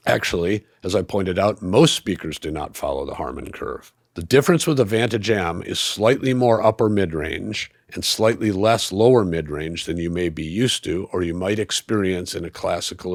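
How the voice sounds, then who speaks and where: A man delivering a scripted review in a measured, analytical, explanatory way. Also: low voice, dry recording, studio quality. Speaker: audio equipment reviewer.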